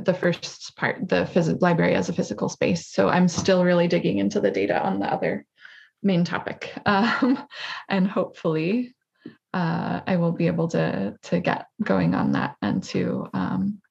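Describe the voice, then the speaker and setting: A woman, a native English speaker, explaining her survey research as a guest on a video call in a thoughtful, steady way. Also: on the low side, gravelly, breathy edge, dull audio.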